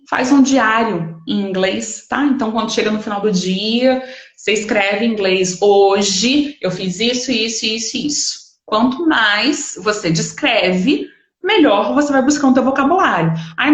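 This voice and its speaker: Brazilian female teacher, slightly high voice, informal explanatory delivery with frequent check-in tags, recorded in a very roomy space. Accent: Brazilian Portuguese. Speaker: English study teacher. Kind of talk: informal live online lesson. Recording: echoey room sound.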